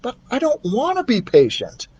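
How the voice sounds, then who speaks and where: A man's voice: very high voice, wildly swooping pitch, moderate pace, a little mellow, slightly rough, lo-fi sound, voice pushed up, full character voice. A man voicing a friendly, candid young boy in a storybook, speaking in an earnest, confiding way. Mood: impatient.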